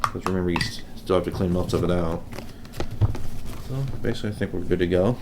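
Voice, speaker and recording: A man giving a casual, unscripted how-to demonstration, talking haltingly and unhurriedly as he works. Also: sits low, steady tone, noisy background. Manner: unhurried, halting, thinking aloud while working. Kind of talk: casual unscripted hands-on tutorial.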